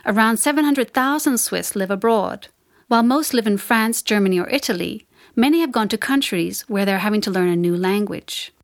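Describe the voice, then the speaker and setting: A woman radio host introducing a report and interviewing a guest, with brisk, conversational questions. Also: medium pitch.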